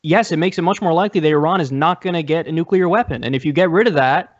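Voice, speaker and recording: Emphatic young man, higher-pitched, arguing. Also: fast, muffled recording. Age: young adult. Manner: emphatic, profane, repeats phrases for stress. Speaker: debate guest. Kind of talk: political debate. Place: indoor room, video call.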